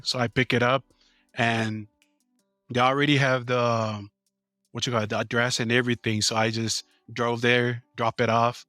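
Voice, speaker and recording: A man in his thirties from American Samoa talks through his work in a loose, halting way, filling gaps as he goes. His voice is bright and forward, recorded close and dry with faint music underneath. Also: mid-range voice, no echo. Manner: relaxed, halting, many fillers. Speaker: business owner guest. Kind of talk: casual interview answers.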